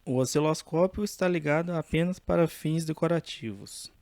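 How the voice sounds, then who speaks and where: A man with a Brazilian accent giving an informal, step-by-step technical demonstration in a halting, explanatory way. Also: a little high, darkish tone, dry recording. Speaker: electronics tutorial presenter.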